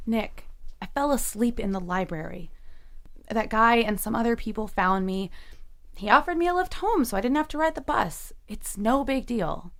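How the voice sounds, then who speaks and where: A woman's voice: a little high, expressive, moderate pace. An actress playing a troubled, weary but defiant young woman in an audio drama. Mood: defensive.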